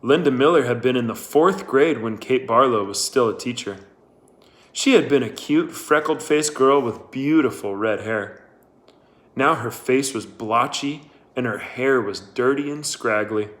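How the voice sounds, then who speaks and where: Male narrator for a novel read-aloud, mid-range pitch, very unhurried delivery. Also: fairly bright tone. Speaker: audiobook-style narrator.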